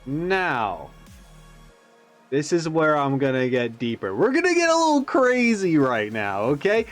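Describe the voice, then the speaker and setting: A man's voice: on the high side, big pitch swings, a little slow, faint music behind. A man giving casual, rambling analysis as a video host, musing and tentative as he thinks aloud. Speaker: YouTube reaction host.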